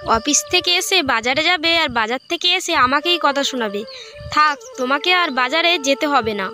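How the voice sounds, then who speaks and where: A woman's voice: high voice, lively intonation, moderate pace, music in the background. A woman voicing a naive, easily hurt young housewife in a cartoon story. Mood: pleading, sulky. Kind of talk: amateur cartoon story voice acting.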